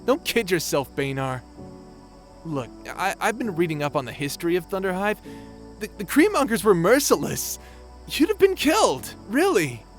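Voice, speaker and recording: A man's voice: sits high, sing-song, moderate pace, faint music behind. A man playing an awkward, sympathetic young lord in a sci-fi audio drama, speaking in a hesitant, wry, stammering way. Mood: sympathetic, reassuring. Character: awkward, sympathetic young male lord revived from century-long stasis. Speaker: voice actor in an audio roleplay. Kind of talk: conversational audio drama acting.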